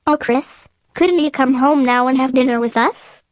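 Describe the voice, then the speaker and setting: Actress as high-voiced, sweet mother. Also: moderate pace, no room sound, studio quality, natural voice. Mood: glad. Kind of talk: radio play acting.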